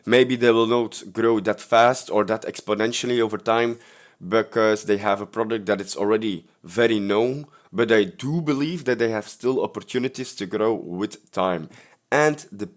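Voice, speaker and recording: Young man casually walking through his portfolio. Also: lowish voice. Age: 20s. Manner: relaxed, upbeat, speaks in short phrases with fillers. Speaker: investing channel host. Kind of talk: casual screen-share portfolio walkthrough.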